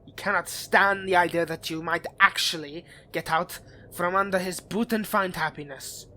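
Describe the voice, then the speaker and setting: A man's voice: high-pitched, steady tone, moderate pace, bright, forceful tone. A man playing a suave, scheming vampire aristocrat in a dramatic roleplay addressed to the listener, with courtly, haughty, theatrical phrasing. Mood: scornful, indignant.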